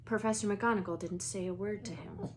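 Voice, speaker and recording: A woman's voice: mid-range voice, fairly even intonation, fairly quick. Woman reading a storybook aloud, light background noise.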